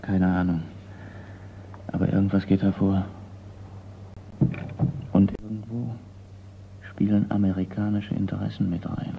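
An actor playing a blunt, sardonic, wary forgery expert in a radio crime drama. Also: male voice, deep voice, little pitch movement, unhurried, creaky, long pauses. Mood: uneasy. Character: gruff, wary museum craftsman and forgery expert, a man. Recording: dull, noisy radio play recording.

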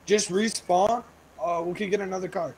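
A man with a somewhat high voice chats casually with another player in an online game. There is faint game and vehicle noise behind him. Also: fairly even intonation, moderate pace, darkish tone.

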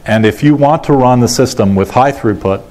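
A middle-aged American man giving a technical conference talk in a measured, matter-of-fact way, pausing often as he goes. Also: medium pitch, a little mellow. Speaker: conference keynote speaker. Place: large lecture auditorium, indoors. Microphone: lapel mic.

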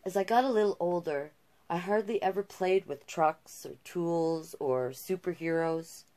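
A middle-aged woman with a slightly low voice reads a children's picture book aloud at a slow pace. She is expressive and drops in her own asides as storytime host. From Canada. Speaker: drag king storytime host. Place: small cluttered indoor room.

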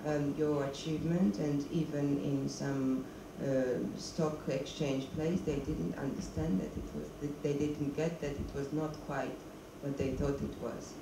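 A middle-aged woman with a gravelly, creaky voice, speaking into a handheld mic on a stage. She pauses often to hunt for words in English. The sound is echoey and noisy, picked up from a distance in a screening hall. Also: deep voice, level tone. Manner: searching for words, non-native English. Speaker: documentary filmmaker, guest. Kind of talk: stage Q&A interview.

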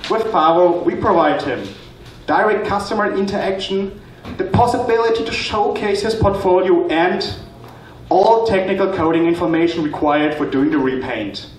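Young man, student presenter, high voice, rehearsed pitch, distant echoey recording with faint noise. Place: stage in a hall with an audience. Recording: echoey, noisy hall, distant microphone pickup.

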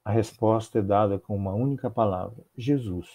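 Older Brazilian man giving a measured sermon. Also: fairly low pitch, warm tone, husky. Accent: Brazilian Portuguese. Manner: measured, rhythmic phrasing with repeated clauses. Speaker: spiritist lecturer. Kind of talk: religious talk. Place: home office with bookshelves. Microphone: earphones with mic on a video call.

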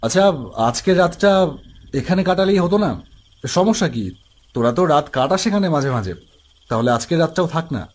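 A man's voice: on the high side, expressive, moderate pace, long pauses. A man voicing an eager young city visitor in a cartoon ghost story, with music behind. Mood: curious, insistent.

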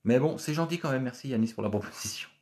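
A man, hosting a casual live stream from a small office and answering viewer comments, chatty and repetitive with many filler pauses. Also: mid-range voice, darkish tone. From France. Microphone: phone camera at arm's length.

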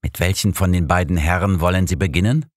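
Audiobook cardinal played by a man: low-pitched, gracious, formal, welcoming churchman. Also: fast talker, no echo, studio quality. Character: courteous, welcoming venerable Italian cardinal.

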